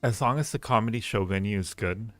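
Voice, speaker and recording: A man's voice: lowish voice, a little fast, fairly bright tone, no room sound, faint music behind. A man hosting a casual livestream, teasing and rambling playfully as he ranks things and repeats his phrases. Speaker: livestream host. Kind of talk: casual livestream chat and ranking commentary.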